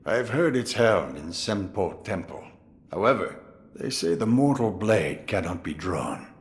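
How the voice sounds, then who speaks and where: Game role: jovial old samurai grandfather, low voice, big swings in intonation, sly and theatrical. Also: lively intonation. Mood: grave musing. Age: older. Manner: theatrical, amused, drawn-out musing delivery. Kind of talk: dramatic video game voice acting.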